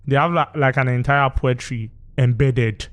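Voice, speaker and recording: A Nigerian man who grew up speaking Yoruba explains his background in a studio interview. His voice is smooth and resonant, pitched in the middle range. He talks in a measured, reflective way, pausing and reaching for words as he goes. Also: fairly even intonation, a bit bright. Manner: measured, thoughtful, with frequent pauses and fillers. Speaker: poet guest. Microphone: studio microphone.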